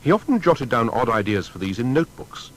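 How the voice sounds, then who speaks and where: A man narrating a scripted documentary biography in a formal, measured storytelling manner. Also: mid-range voice, sing-song.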